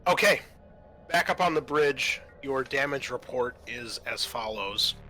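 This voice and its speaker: A man's voice: medium pitch, lively intonation, a little slow, pushed and bright, faint music behind. A man acting as game master, narrating a tabletop role-playing game in an informal way.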